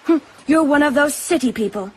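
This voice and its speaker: A woman's voice: high voice, lively intonation, fairly quick. An actress playing a wary, defiant young island woman who collects shells, speaking directly and challengingly in a radio drama. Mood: haunted, intense.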